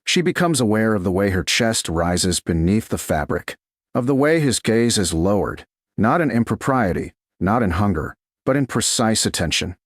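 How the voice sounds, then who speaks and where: A man narrating a scripted romance audiobook in a slow, measured, dramatic manner. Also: lowish voice, big pitch swings, no echo, studio quality.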